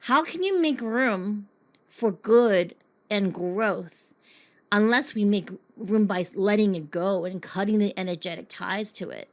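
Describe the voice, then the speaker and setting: A woman's voice: mid-range voice, unhurried. A woman born in Taiwan, hosting a podcast interview and speaking earnestly, stretching words for emphasis. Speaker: podcast host. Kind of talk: warm interview conversation.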